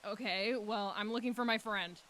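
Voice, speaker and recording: A woman's voice: medium pitch, fairly even intonation, normal speed, fairly bright tone, lightly acted. A woman playing a stubborn, dismissive teenage boy athlete in an audio drama, curt and defiant.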